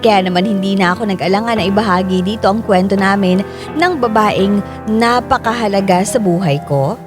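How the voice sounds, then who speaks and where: A woman's voice: mid-range voice, a little fast. A woman delivering scripted first-person storytelling as the letter narrator of a radio drama. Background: music.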